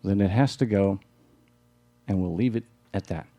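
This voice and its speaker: A man's voice: sits low, normal speed, warm tone. A man playing a put-upon, wisecracking husband in a live radio play, wry and incredulous. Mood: wary, resigned.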